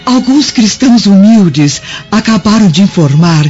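Casting: humble, eager servant in ancient Rome, soft dark timbre, deferential, Brazilian accent, lo-fi radio play. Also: female voice, mid-range voice, expressive, measured pace, husky. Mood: eager, excited. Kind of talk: Brazilian radio drama acting. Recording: dull lo-fi radio drama, music behind.

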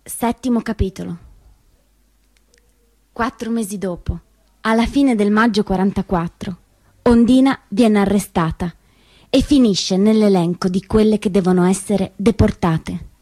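Woman narrating with dramatic, measured delivery. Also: medium pitch, warm tone. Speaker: solo stage actress and narrator. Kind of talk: scripted theatrical storytelling.

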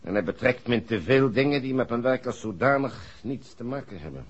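An actor playing an affable, gossipy and slightly evasive assistant in a 1960s Dutch radio play, speaking hesitantly with a Netherlands accent. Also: male voice, mid-range voice, lively intonation, a little fast, breathy edge. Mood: confiding. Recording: dull, muffled 1960s radio recording.

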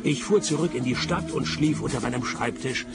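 An actor playing a dry, laconic private detective, narrating a radio play in a deadpan, terse manner. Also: male voice, medium pitch, fairly quick, vocal fry, loud music behind the voice, natural voice. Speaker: narrating lead character.

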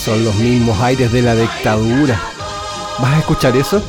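An actor playing a fed-up, cynical political prisoner in a radio drama, with a Chilean accent. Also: male voice, mid-range voice, normal speed, loud music behind the voice, lightly acted. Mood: sceptical.

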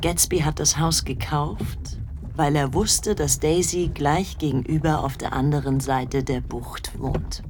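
An actress playing a cool young society woman in a radio play, confiding secrets in a measured, hushed way. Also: deep voice, background noise. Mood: matter-of-fact.

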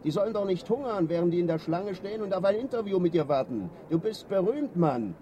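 An actor playing a breezy, cheeky hustler friend in a radio drama, talking in a chummy way. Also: male voice, sits high, animated, fairly quick, mellow, slight background noise. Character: chummy, cheeky hustler pal planning a snack van. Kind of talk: naturalistic radio drama acting.

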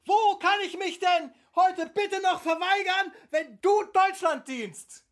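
An actress playing a stubborn, indignant refuser in a radio drama, complaining emphatically. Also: female voice, very high voice, slow, fairly bright tone, exaggerated voice. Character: stubborn indignant female refuser protesting against the state.

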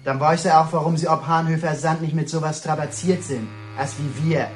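An actor as a highish-voiced, needling young inmate. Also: male voice, fairly even intonation, brisk, soft background music, close to an everyday voice. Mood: teasing. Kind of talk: colloquial radio drama dialogue.

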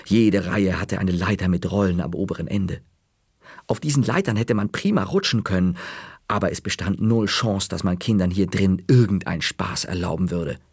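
A man narrating a children's story in a measured, dry-humored way. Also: deep voice, darkish tone, slightly rough, no echo.

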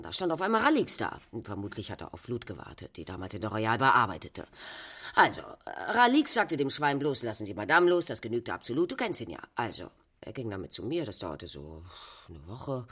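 A woman's voice: very deep voice, big pitch swings, brisk, a bit bright, slightly rough, heavily acted. A woman acting a sardonic, languid 1920s Parisian prostitute in a radio play, drawling and dismissive.

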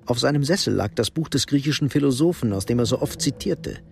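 A man narrating a radio crime drama in a measured, matter-of-fact way. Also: mid-range voice, expressive, vocal fry, faint music behind. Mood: sober. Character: sober third-person narrator of a crime story.

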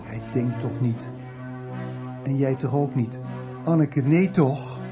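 A man's voice: mid-range voice, slow, lightly acted. A middle-aged actor playing a weak, distressed father in a radio soap, pleading and protesting. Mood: defensive, shaken. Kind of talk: Dutch radio soap acting. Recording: music behind the voice.

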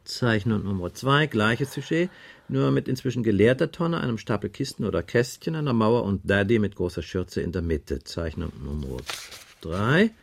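Dry, gravelly police inspector. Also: male voice, lowish voice, expressive. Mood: dry, factual. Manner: matter-of-fact, probing, deliberate. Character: dry, insistent male police inspector questioning a witness. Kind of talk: radio crime drama dialogue.

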